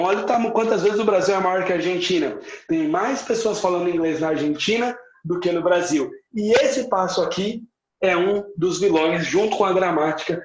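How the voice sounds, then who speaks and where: A Brazilian man with a Brazilian Portuguese accent, an English teacher giving an emphatic motivational lesson, repeating points for stress and dropping in English words. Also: high-pitched. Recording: echoey room, slightly muffled sound.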